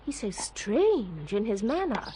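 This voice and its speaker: High, lilting young English lady. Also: big pitch swings, measured pace, crowd noise. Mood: puzzled. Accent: upper-class English. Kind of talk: radio drama acting.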